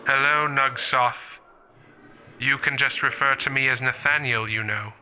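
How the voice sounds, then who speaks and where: A man playing a thoughtful, morally troubled professor in a radio play, speaking in a measured, earnest way. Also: medium pitch, fairly even intonation, pushed and bright, rough recording. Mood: wary.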